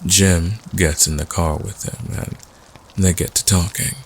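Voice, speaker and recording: A man acting as a laconic storyteller at a campfire, deep and gravelly, telling an eerie tale in an understated way. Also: full character voice. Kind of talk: audio roleplay campfire storytelling.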